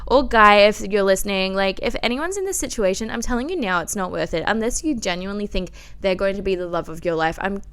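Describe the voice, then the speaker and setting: A woman's voice: medium pitch, animated, quick pace, few pauses, a bit bright, smooth. A woman in her twenties with an Australian accent, telling personal stories in a candid, casual podcast style full of "like" and informal slang. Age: 20s. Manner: candid, informal, liberal filler "like" and swearing. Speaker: podcast host. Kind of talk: casual chatty solo podcast storytelling.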